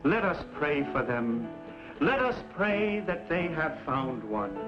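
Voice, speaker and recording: An actor playing a meek, unctuous frontier undertaker who talks in pious, flowery, ingratiating phrases in an old western. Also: male voice, fairly high pitch, big pitch swings, takes it slowly, music in the background. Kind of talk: old western screen drama acting. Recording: dull old film soundtrack.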